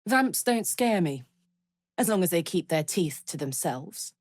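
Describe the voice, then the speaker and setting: Brash, big-hearted warrior, unhurried. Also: female voice, lowish voice, lively intonation, dry recording, studio quality. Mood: playful, cheeky. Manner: earnest, blunt, slangy and informal. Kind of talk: video game voice acting.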